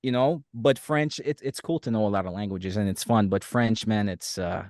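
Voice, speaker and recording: A man's voice: fairly low pitch, no echo, studio quality. A man in his thirties with a Romanian accent, chatting easily as a podcast guest and agreeing often. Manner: relaxed, agreeable, many quick "yeah"s. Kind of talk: casual chat.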